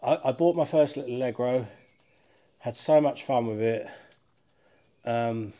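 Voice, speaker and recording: A man's voice: medium pitch, measured pace, mellow. Middle-aged British man chatting casually. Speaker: car collector and restorer presenting a channel. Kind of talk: casual chat while working on a car. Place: large workshop barn, indoors.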